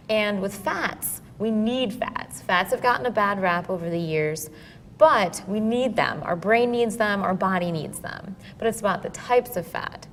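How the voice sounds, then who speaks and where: A woman nutritionist explains food choices clearly and plainly. Her voice rings with long hall reverb. Faint noise hums in the background. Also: medium pitch. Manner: clear, instructive. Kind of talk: explanatory interview answers.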